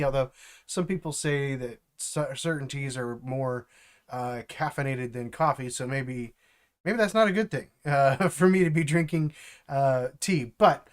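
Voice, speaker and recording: A middle-aged man, stuffed up from allergies, chatting casually on a live stream from home, halting and full of fillers. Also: medium pitch. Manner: halting, with many fillers and restarts. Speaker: streamer and developer mentor.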